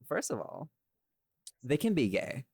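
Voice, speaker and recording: A man's voice: mid-range voice, huge pitch swings, measured pace, husky, dry recording, studio quality. A man chatting casually as a podcast host, rambling and opinionated, with some casual swearing. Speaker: podcast host and audio drama writer.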